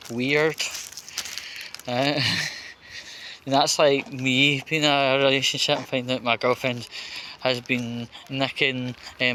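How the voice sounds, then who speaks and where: A man giving off-the-cuff political commentary outdoors on a busy street, halting and emphatic, often repeating words. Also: on the high side, sharp tone. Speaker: vlogger. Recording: noisy street ambience. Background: street noise.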